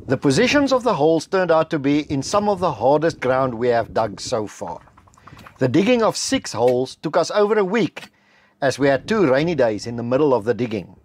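South African man, lively voice-over. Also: medium pitch, slight background noise. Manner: measured, matter-of-fact, precise. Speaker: homestead vlogger. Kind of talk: scripted voice-over narration. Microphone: close voice-over mic.

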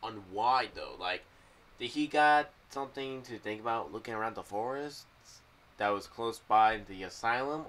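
A young man giving casual, rambling commentary to camera with a halting, emphatic delivery. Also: lowish voice, fairly bright tone, far from the mic. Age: young adult. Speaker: fan theory vlogger. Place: small home room. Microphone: boom-arm condenser mic with pop filter.

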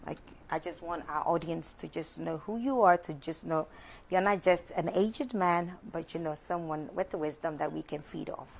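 A young Ghanaian woman hosting a studio talk show, lively and encouraging, mixing Twi with English, on a lapel mic. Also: deep voice, normal speed, vocal fry.

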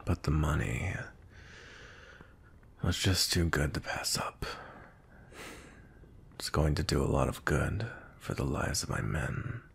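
A man playing a cold, grumpy vampire knight captain in an intimate ASMR roleplay, with a breathy, husky voice and a brooding manner. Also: a bass voice, slow, pauses often, a bit bright, heavily acted. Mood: stern, reserved. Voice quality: breathy, husky, often near whisper.

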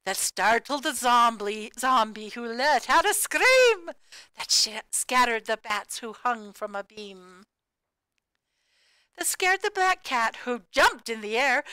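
Female storybook reader, crisp and close-sounding, expressive sing-song delivery of cumulative rhymes for young children. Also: high voice, slow, no room sound. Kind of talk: animated children's read-aloud of a rhyming picture book.

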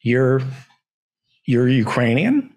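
An American man in his sixties with a medium-pitched voice hosts an interview. He talks conversationally in deliberate, pausing phrases, close on a podcast mic. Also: very animated, a little mellow. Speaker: host, interviewer. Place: home living room, indoors. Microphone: podcast mic on boom arm, close.

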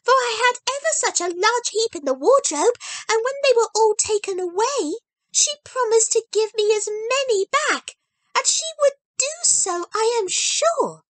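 A bubbly little girl's voice pitched way up high, gushing with eager delight as it leaps about in a lively sing-song, put on by a woman reading a storybook aloud. The sound is close and dry, with no room around it. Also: a little slow, dry recording, heavily acted, rough recording. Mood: eager gleeful. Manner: bubbly, gleeful, breathless rush. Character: excitable eager little girl.

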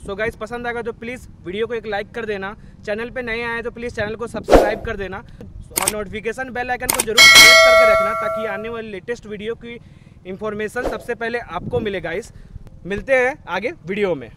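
A man's voice: sits high, steady tone, a little fast, heavy background noise. A man talking casually to his viewers as a travel vlogger, chatty and calling them guys, filming outdoors by a waterfall. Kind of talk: casual vlog talk to viewers. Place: outdoors on a hill path by a waterfall.

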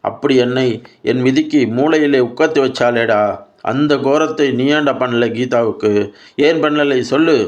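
A man's voice: mid-range voice, steady tone, normal speed, vocal fry, a bit bright, muffled recording, pitched above the performer's own voice. A man voicing an anguished, defiant old widowed grandmother in a story, scolding and pleading with insistent questions. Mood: bitter, anguished.

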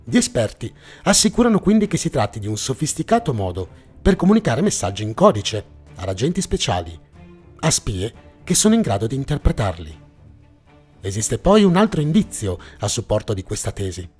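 A man's voice: mid-range voice, very animated, brisk, a little mellow, slightly rough. A man narrating a scripted mystery documentary in a suspenseful, dramatic storytelling manner. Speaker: narrator and host of a mystery series. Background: background music.

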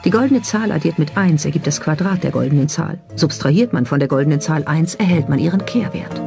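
Documentary narrator, mid-pitched and relaxed, scripted delivery, light music bed. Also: a little fast, gravelly. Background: background music.

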